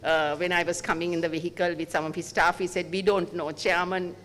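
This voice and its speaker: A man's voice: sits high, level tone, a little fast, a crowd in the background. A man, a chairman giving praising closing remarks at a panel. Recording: reverberant hall with faint noise.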